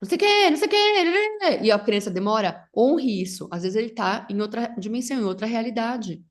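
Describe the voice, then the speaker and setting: A woman's voice: on the high side, lively intonation, quick pace. A middle-aged woman whose first language is English, talking casually as a facilitator guest, rambling with restarts and English words mixed in. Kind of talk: casual conversation.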